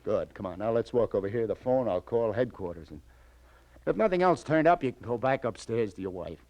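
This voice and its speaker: An actor playing a hard-boiled police detective, weary but firm, with terse, slangy delivery in old radio crime drama style. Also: male voice, on the low side, lively intonation, brisk, a little mellow, no room sound, lo-fi sound. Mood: businesslike. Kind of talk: 1940s-50s radio crime drama acting.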